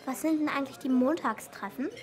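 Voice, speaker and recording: Actress as inquisitive high-voiced female companion. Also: fairly quick, close to an everyday voice. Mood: curious. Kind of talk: radio play dialogue.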